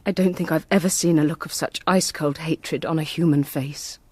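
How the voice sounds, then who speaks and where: A woman's voice: sits low, steady tone, brisk, a little mellow, husky, no room sound. An actress playing a gracious, troubled married lady in a radio drama, refined, grave and restrained. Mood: haunted.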